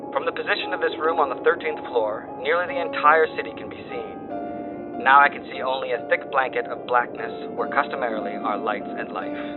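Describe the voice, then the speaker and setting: An actor playing a doomed wire operator who reports horrors in solemn, theatrical, formal phrases through a radio filter, in a horror drama. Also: male voice, medium pitch. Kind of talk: dramatic horror story dispatch reading. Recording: thin phone-like radio band. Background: music.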